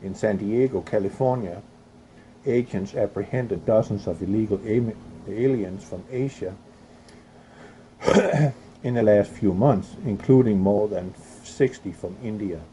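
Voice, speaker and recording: Low dark male voice from Europe, halting news reader with commentary, faint hiss behind. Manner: halting reading with restarts and coughs. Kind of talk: reading news aloud with opinionated commentary.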